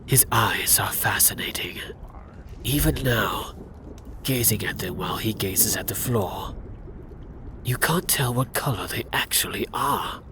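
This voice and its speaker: A man narrating a scripted fantasy ASMR roleplay in a hushed, often whispered voice with dramatic pauses. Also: lowish voice, lively intonation, background noise.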